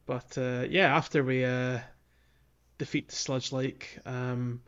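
A man hosting a podcast, talking casually through a game walkthrough in a halting, explanatory way. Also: medium pitch, flat delivery, fairly bright tone, clear, resonant tone, muffled recording. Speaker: podcast host.

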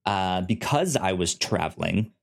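A man hosting a casual review podcast, informal and halting, stammering over the starts of words. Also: deep voice, animated, creaky. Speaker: podcast host.

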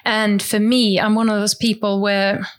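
A woman from Germany, a guest in an interview, explaining her study habits in a chatty way with many restarts, sometimes acting out quotes in a higher voice. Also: level tone, a little slow. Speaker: interview guest, language learner. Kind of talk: casual conversational explanation.

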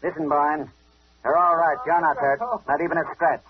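A man's voice: a little high, level tone, moderate pace, crowd noise, close to an everyday voice. An actor playing a brusque, commanding police inspector and father in 1940s radio drama style. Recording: dull hissy old radio recording.

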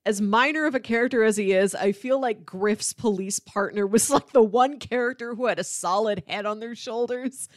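A woman's voice: on the high side, normal speed, hardly any pauses, dry recording, clean studio sound. A woman co-hosting a film podcast, talking casually and analytically, peppering her thoughts with "like". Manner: chatty, analytical, frequent "like". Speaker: podcast co-host reviewing films.

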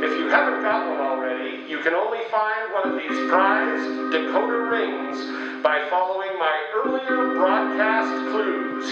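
A man playing an upbeat radio show captain, hearty and showy, rallying listeners like an old-time promo announcer. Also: sits high. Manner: hearty, showy salesman-like address. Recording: dull, very echoey radio broadcast with music.

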